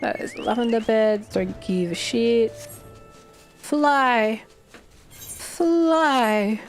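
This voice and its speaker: A woman's voice: on the high side, extremely slow, faint music behind. An Australian woman streaming a game, chatting casually and rambling with some swearing, her throat a little irritated and her voice a bit worn. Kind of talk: casual livestream chat while gaming.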